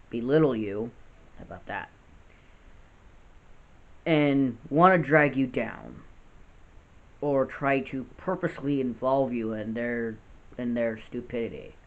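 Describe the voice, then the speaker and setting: A man in his late twenties with a gravelly voice, talking earnestly and unscripted in a vlog, often restarting his sentences. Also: medium pitch. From United States. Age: 20s. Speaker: vlogger. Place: house with poor lighting. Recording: tinny phone-like audio.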